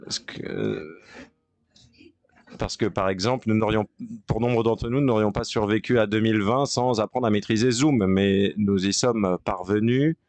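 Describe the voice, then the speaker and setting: Low, dry-voiced male conference interpreter. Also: a little mellow, no room sound. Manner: halting delivery with frequent pauses and restarts. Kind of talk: simultaneous interpretation of a panel discussion.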